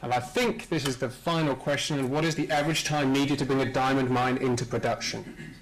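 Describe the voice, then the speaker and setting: A man with a medium-pitched voice acts as master of ceremonies at a conference. His hosting is informal and a bit halting, with a jokey streak as he moves the programme along. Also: fairly even intonation, poor audio. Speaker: conference host introducing speakers.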